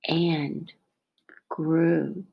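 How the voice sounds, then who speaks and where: A woman reading a children's picture book aloud in a dramatic, expressive way, stretching out phrases and dropping into hushed tones. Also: sits low, drawn-out words, gravelly, husky. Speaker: storybook reader.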